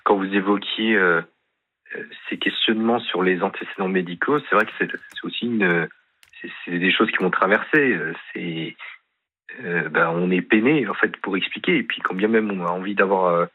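A man calling in to a radio show, speaking hesitantly and haltingly, full of fillers. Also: low voice. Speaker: caller. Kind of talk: phone-in conversation. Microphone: telephone. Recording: tinny phone line.

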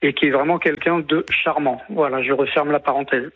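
A man's voice: a little high, fairly even intonation, fairly quick, slightly rough. Man on a tinny phone line. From Martinique and French Guiana. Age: late 50s. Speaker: radio phone-in caller. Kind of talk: personal account on live radio. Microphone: telephone call.

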